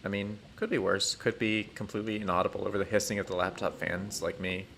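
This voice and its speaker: A man chatting casually on a gaming stream, thinking aloud in a halting, rambling way with dry, self-deprecating humour. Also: fairly low pitch, fairly even intonation, creaky, a bit bright, slight background noise. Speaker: livestreamer playing a building game.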